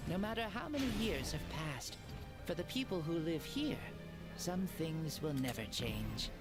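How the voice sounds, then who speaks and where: A gentle old warrior spirit muses on the world he loves. His voice rises and falls with lively shifts, unhurried and calm. Game music plays underneath. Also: a little high. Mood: content. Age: older. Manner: calm, reflective, warmly grateful. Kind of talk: game cutscene voice acting.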